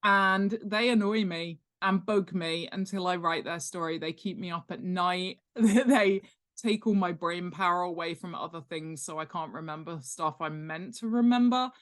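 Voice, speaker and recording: A woman's voice: lowish voice, a bit bright. A woman chatting casually as an interview guest, talking in a humorous, self-deprecating way about herself and her work. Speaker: guest author and world-building expert.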